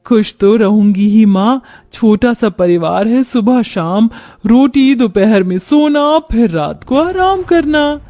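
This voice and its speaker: A man's voice: extremely high pitch, lively intonation, takes it slowly, few pauses, warm tone, heavily acted. A man voicing a young new bride, plaintive and dutiful, in a cartoon family story. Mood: pleased. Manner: plaintive grumbling.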